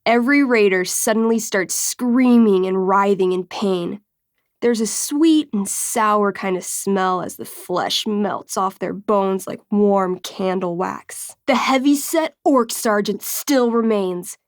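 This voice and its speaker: A woman's voice: mid-range voice, slow, dry recording, studio quality. An actress playing an enthusiastic young woman game master in a video game, moving between theatrical storytelling and casual, wry asides. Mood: dramatic, suspenseful. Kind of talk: video game dialogue, dramatic tabletop narration.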